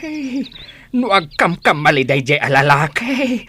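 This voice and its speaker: A woman acts out a hot-tempered, protective village father in a radio comedy drama. The voice is forceful and animated, jumping in pitch as he scolds and demands answers. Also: measured pace, slightly rough, full character voice. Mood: irritated, scolding. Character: gruff, hot-tempered village father guarding his pregnant daughter.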